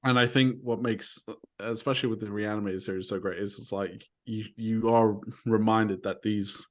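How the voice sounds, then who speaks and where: Lower-pitched man, earnest and explanatory. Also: fairly even intonation, moderate pace. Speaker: panel member. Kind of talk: casual discussion.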